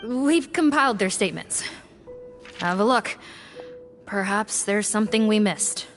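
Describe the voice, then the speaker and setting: A woman playing a brisk, skeptical prison official in a video game, blunt and businesslike. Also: medium pitch, expressive, soft background music, close to an everyday voice.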